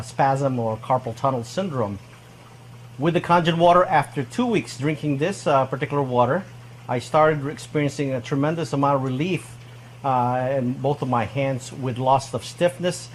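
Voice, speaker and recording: A man's voice: mid-range voice, slight background noise. Hesitant man giving a testimonial. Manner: hesitant, frequent fillers between phrases. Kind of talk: unscripted spoken testimonial.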